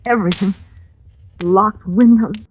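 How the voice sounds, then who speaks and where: A woman's voice: mid-range voice, unhurried, natural voice. An actress playing a plucky, wry woman in a thriller, in 1940s radio acting style with a dry, guarded manner. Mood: weary, shaky. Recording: noisy, crackly old-time radio recording.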